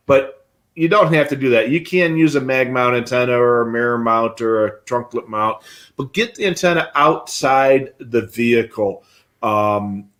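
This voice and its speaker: A middle-aged American man talks at an average pitch for a male voice. He explains practical things casually, in a folksy, conversational manner, like a co-host on a hobby livestream. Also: fairly bright tone. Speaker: co-host of a radio scanner show. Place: indoor home radio room on a video call.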